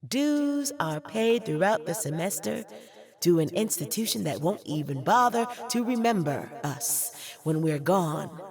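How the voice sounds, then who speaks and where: A woman's voice: fairly low pitch, lively intonation, unhurried, a crowd in the background. A young woman with an American accent acting a savvy theater student in an audio play, sardonic and theatrical as she talks to the audience. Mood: indignant. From Detroit, United States. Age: young adult. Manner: theatrical, sardonic, swinging between confiding and performing. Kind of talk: dramatic monologue to the audience.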